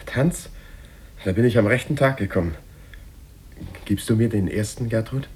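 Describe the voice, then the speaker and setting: A man's voice: fairly low pitch, normal speed, a little mellow, husky. A male actor playing an eager, polite young painter who is a guest in a village, in a 1950s German radio drama. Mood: eager, surprised. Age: young adult.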